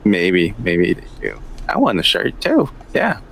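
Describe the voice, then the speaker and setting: A man's voice: deep voice, huge pitch swings, unhurried, creaky, fairly bright tone, breathy edge. A man co-hosting a podcast, chatting casually and laughing often at the jokes. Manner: laughs often, goofy banter. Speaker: podcast co-host.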